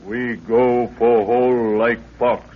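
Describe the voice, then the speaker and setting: A man's voice: mid-range voice, flat delivery, slow. An actor playing a loyal, stubborn African tribesman guide who speaks broken pidgin English with grunts, in 1930s radio adventure style. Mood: grumbling, reluctant. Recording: tinny, phone-like old radio recording.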